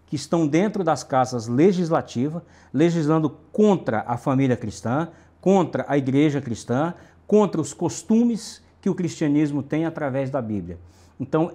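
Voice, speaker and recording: A man's voice: on the high side, warm tone. A middle-aged man from Brazil's Northeast, a city councillor answering interview questions emphatically with lists and repetition.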